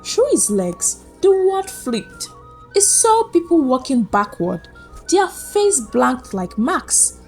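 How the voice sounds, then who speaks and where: A woman narrating a scripted folktale as a storyteller, with suspenseful, deliberate phrasing. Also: high voice, very animated, a little mellow. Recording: music behind the voice. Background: background music.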